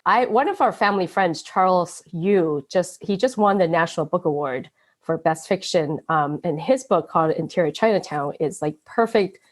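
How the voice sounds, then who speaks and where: A woman's voice: fairly low pitch, moderate pace. A woman with an American accent talking casually as an interview guest, peppering her informal speech with filler phrases. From born in Taiwan, grew up in the United States from age seven. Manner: informal, frequent "like" and "you know".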